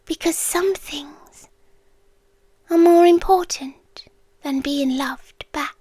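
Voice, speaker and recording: British woman acting as lovelorn female end-of-the-world entity, ASMR roleplay, soft breathy whisper, unhurried pacing, dry close-mic sound, tender, melancholy. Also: high-pitched, no room sound. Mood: mournful farewell. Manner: slow, hushed, intimate, with pauses. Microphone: close microphone in a dry space.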